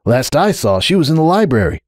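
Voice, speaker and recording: A man's voice: on the high side, sing-song, brisk, dry recording, clean studio sound, pitched above the performer's own voice. A man voicing a cheeky, casual and curious young male dragon in a story reading. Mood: breezy. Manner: breezy, inquisitive.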